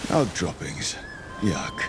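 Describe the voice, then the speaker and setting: An actor playing a curious, encouraging adventurer companion in a video game, with an inquisitive, conversational way of talking. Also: male voice, slow pace, background noise, natural voice. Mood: grumbling. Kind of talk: video game dialogue acting.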